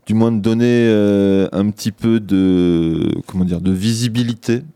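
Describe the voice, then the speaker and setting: A man hosting a community radio show, chatting casually and sounding tired, pausing often on filler sounds into a studio mic. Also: fairly low pitch, fairly even intonation, mellow, clean tone, dry recording. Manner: hesitant, many filler pauses. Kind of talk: casual on-air chat. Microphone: studio microphone.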